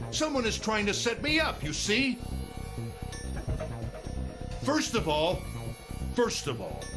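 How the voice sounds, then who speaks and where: An actor playing a cornered, indignant mature CEO villain in a visual novel game, emphatic and self-justifying, over music. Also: male voice, medium pitch. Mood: indignant, angry. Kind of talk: dramatic visual novel dialogue acting. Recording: game audio under prominent music.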